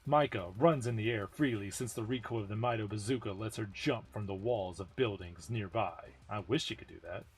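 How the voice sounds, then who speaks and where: A man's voice: on the low side, breathy edge. A man giving a dramatic amateur reading of a game story as narrator. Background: music.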